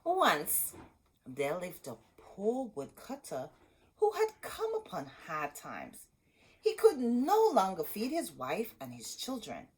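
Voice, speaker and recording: A woman's voice: mid-range voice, breathy edge, low-quality recording. A woman hosting a children's storytime, reading a picture book aloud expressively and talking directly to young listeners. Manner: animated, deliberate, speaking directly to young listeners.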